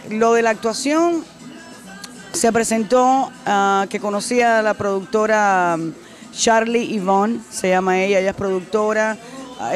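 A middle-aged woman from Cuba, a singer answering interview questions in a casual way, animated and repeating phrases for emphasis, into a handheld mic in a restaurant. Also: medium pitch. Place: decorated restaurant, indoors. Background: faint music.

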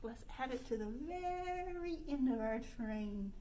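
Female storytime leader, 30s, high voice, exaggerated sing-song delivery for preschoolers, noticeable background noise. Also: animated, measured pace, mellow, poor audio. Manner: sing-song, exaggerated, asking questions to young children. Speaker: children's librarian leading storytime. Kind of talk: interactive children's storytime with chants. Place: indoor room by a stone fireplace.

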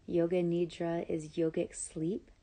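Slow, mellow woman guiding meditation. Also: lowish voice. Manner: calm, soothing, measured instruction with long pauses. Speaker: yoga nidra guide. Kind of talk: scripted guided meditation.

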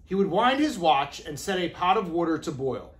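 Lively, higher-pitched man reading to children. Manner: deliberate, expressive phrasing for young children. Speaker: educator reading aloud to kindergarteners. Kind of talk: children's storybook read-aloud. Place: classroom, indoors.

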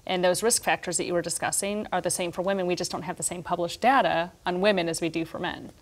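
She is a woman. Her voice sits a little low. She explains things in clinical terms, as a practitioner on a television panel would. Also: steady tone, fast. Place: TV studio round table. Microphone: lapel mic.

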